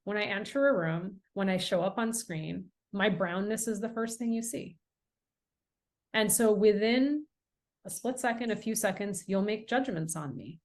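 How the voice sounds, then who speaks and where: A woman's voice: mid-range voice, normal speed. A middle-aged American woman, an author and guest on a podcast interview, telling stories in an earnest, emphatic way. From United States. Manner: earnest, emphatic, animated storytelling.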